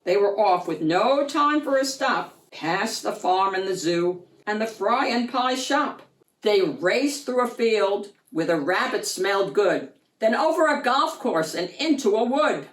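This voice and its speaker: A woman reading a rhyming picture book aloud for children, in an expressive, sing-song way. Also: medium pitch. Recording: roomy echo, distant mic.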